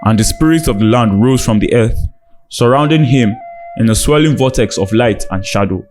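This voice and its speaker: A man's voice: medium pitch, moderate pace, darkish tone. A man narrating a scripted dramatic folktale as a storyteller. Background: background music.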